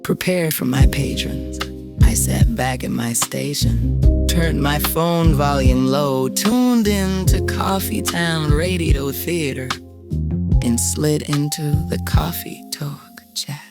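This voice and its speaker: A middle-aged woman narrating a scripted first-person story in a deliberate, poetic, confiding way with emphatic pauses. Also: low voice, over loud music. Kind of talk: dramatic scripted audio storytelling.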